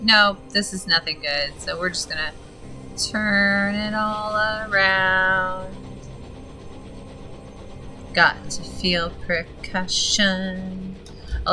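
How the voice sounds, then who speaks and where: A woman streaming a game, chatting casually with viewers and reading game text aloud; her voice is gravelly and she swears freely. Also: on the low side, bright, forceful tone, low-quality recording. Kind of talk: casual gaming stream commentary.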